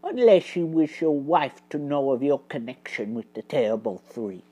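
A woman voicing a stern, commanding male mouse detective in a storybook, speaking with hushed, measured authority. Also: low-pitched, very animated, full character voice.

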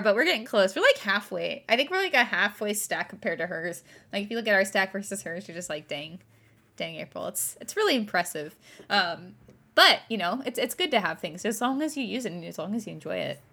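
A woman's voice: mid-range voice, very animated, brisk, pushed and bright. A woman co-hosting a podcast, chatting casually in a bubbly way and laughing often. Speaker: podcast co-host. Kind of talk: casual chat.

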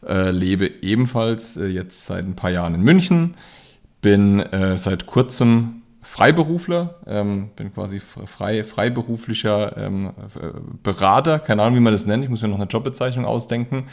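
A man's voice: on the low side, expressive, moderate pace, darkish tone. A man in his thirties from Rhineland-Palatinate with a Palatinate accent, co-hosting a casual podcast and stumbling through fillers and restarts. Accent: Palatinate German. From Rhineland-Palatinate, Germany. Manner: hesitant, many fillers and restarts. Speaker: podcast co-host. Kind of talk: casual chat.

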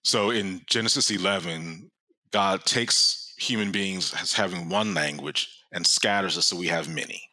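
Very low voiced guy explaining stuff, super bright tone, dry sound, laughs a lot. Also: normal speed, no echo, low-quality recording. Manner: earnest explaining, laughs often. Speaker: guest scholar. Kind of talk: conversational teaching.

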